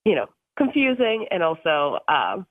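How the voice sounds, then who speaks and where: A woman's voice: fairly low pitch, wildly swooping pitch, a little slow, no echo. Woman in her twenties on a tinny phone line. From Russia. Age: 20s. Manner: earnest, many ums and uhs, occasional laughs. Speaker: guest from a tech policy group. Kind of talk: radio interview on a phone line.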